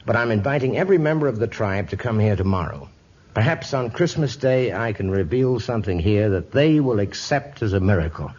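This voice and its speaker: An actor playing a weary but steadfast missionary reverend in 1950s radio drama, calm and earnest. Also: male voice, lowish voice, brisk. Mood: earnest, determined. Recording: muffled old radio recording.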